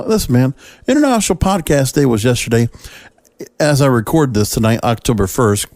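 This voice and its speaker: A man's voice: medium pitch, a little mellow, no room sound, clean studio sound. A man hosting a solo podcast in a casual, conversational and emphatic monologue. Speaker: podcast host.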